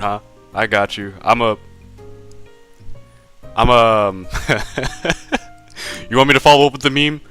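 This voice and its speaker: A man's voice: medium pitch, pushed and bright. A young man drawing live on stream, chatting casually with viewers, stammering and repeating words, laughing often.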